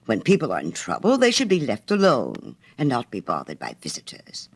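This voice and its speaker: A man's voice: medium pitch, wildly swooping pitch, fairly quick, vocal fry, slightly rough, no echo, full character voice. Man acting a smug miller, sanctimonious and swooping. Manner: sanctimonious, self-satisfied. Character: rich, smug, self-righteous male miller.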